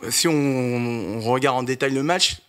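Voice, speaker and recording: Man, panelist, measured, analytical, mid pitch. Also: a bit bright. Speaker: football panelist. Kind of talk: football analysis in casual chat.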